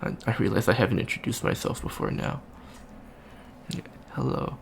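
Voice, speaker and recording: A man's voice: on the low side, normal speed, gravelly. A man playing a shy, friendly young avian alien student in an ASMR roleplay, hesitant, stammering and rambling. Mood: shy, eager. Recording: faint background noise.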